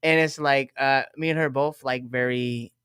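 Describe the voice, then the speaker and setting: A man in his thirties with a high-pitched voice, chatting in an animated way with lots of fillers. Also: moderate pace, smooth, no room sound, clean studio sound. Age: 30s. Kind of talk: casual chat.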